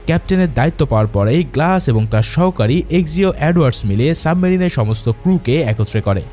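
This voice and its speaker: A man's voice: mid-range voice, dark tone. A man narrating a film's story as a scripted, dramatic recap. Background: background music.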